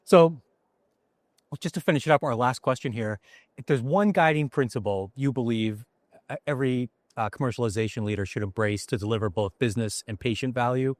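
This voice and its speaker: A man's voice: medium pitch, fairly quick, no room sound, studio quality. A man hosting an interview, asking questions and reacting with enthusiastic agreement. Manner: enthusiastic, frequent agreeing backchannels. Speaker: interview host. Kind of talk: conversational interview.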